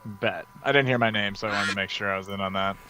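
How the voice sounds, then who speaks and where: A man hosting a hobby livestream, chatting casually in an excitable, rambling way. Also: fairly low pitch, quick pace. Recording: steady background noise.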